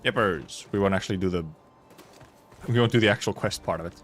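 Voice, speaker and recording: A man's voice: deep voice, quick pace, faint music behind. A man giving casual live gameplay commentary as a streamer, rambling and muttering to himself. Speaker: game streamer.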